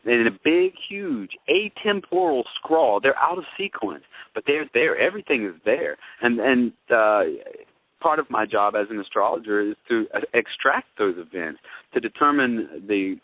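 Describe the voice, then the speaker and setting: A man's voice: mid-range voice, expressive, moderate pace, no echo. A man speaking as an astrologer in a conversational debate, with an emphatic, wordy manner and formal vocabulary. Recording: tinny, band-limited radio or phone-line audio.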